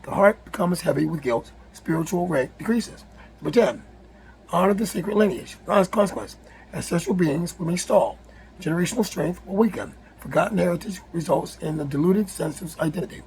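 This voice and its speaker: A man with a raspy, hoarse voice, reading a numbered list aloud with casual asides, often stumbling and rereading words. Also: fairly high pitch, animated, normal speed, a little mellow, a little hiss or hum, low-quality recording. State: hoarse from yelling. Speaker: spiritual video host.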